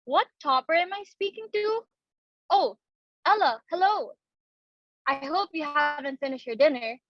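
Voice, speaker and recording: A woman's voice: high-pitched, animated, a little slow, dry recording, dull audio. A woman playing an anxious, earnest young society daughter in a dramatic reading of a stage comedy, with a pleading, theatrical delivery. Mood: polite, eager.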